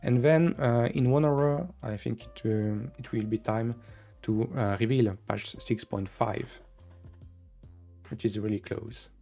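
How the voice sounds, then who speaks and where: A man hosting a casual developer stream, talking in a relaxed way with frequent fillers. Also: medium pitch, measured pace, gravelly, soft background music. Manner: relaxed, filler-heavy, occasional laughs. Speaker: game developer and stream host. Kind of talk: casual dev stream chat.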